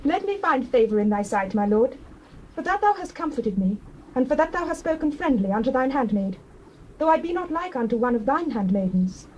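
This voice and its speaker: High-voiced, humble young widow. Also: female voice, lively intonation, a little fast, lo-fi sound, a little hiss or hum. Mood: humble, grateful. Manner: gentle, earnest. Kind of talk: dramatized scripture, classical stage acting.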